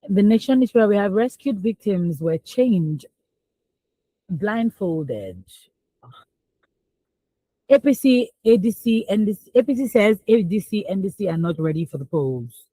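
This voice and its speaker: A woman's voice: mid-range voice, measured pace, long pauses, no room sound, low-quality recording. A young Nigerian woman co-hosting a radio newspaper review, reading headlines and then giving emphatic, exclamatory opinions. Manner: animated, emphatic, exclamatory, laughs between points. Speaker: radio news review co-host. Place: radio studio.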